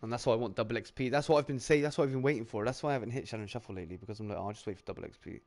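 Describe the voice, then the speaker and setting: A man's voice: medium pitch, racing, a little mellow. A man hosting a casual chat, talking in a laid-back, rambling way full of fillers. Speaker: channel host on a live round-table stream.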